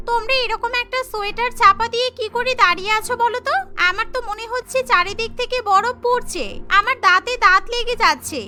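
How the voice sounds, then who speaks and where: A woman's voice: very high voice, pushed and bright, voice pushed up, full character voice. A woman voicing a pampered young city-bred daughter-in-law in a cartoon, whiny and indignant as she complains. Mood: freezing, whining. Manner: whiny, exclaiming, scolding.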